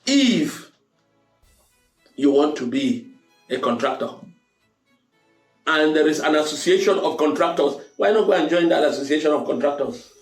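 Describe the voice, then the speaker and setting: Man, slightly high voice, roomy echo, preacherly motivational monologue, emphatic repetition, host addressing listeners. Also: animated, moderate pace, long pauses. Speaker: host of a motivational talk show. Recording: roomy echo, music underneath.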